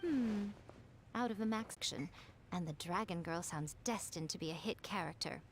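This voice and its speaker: A woman's voice: fairly low pitch, normal speed, slight background noise, close to an everyday voice. A woman playing a poised, sly fox shrine maiden who works as a demanding editor in an anime video game, speaking in a teasing, condescending way. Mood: poised, approving. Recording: game music behind.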